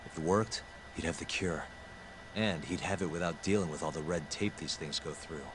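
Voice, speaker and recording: A man playing a calm, serious sleuth who reasons deliberately, in video game dialogue with background noise. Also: sits low, lightly acted. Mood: earnest. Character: calm, deep-voiced serious male sleuth.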